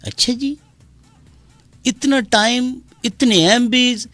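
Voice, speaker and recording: A man's voice: very high-pitched, a bit bright, no room sound. A man with a Pakistani accent hosting a casual live session, reading out listeners' messages with teasing, theatrical banter and slipping into Punjabi and English. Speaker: radio show host on a live social media session. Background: music playing.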